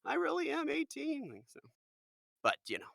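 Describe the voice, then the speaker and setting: A man in his forties, a voice actor and podcast guest, chatting in an animated way with a fairly high-pitched voice. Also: moderate pace, vocal fry, dry recording, studio quality. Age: 40s. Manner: chatty, animated storyteller, quotes people in lively voices. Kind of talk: casual chat.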